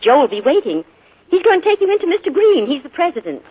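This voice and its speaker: A woman's voice: high voice, big pitch swings, quick pace. An actress playing a fond, chatty middle-aged mother in a 1940s radio drama, on a tinny old broadcast. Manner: chatty, doting, reminiscing.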